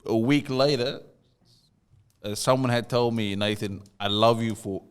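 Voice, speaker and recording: A man's voice: medium pitch, unhurried, clean tone. A South African man co-hosting a casual conversation, telling a story and often repeating words as he starts a thought. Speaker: podcast co-host.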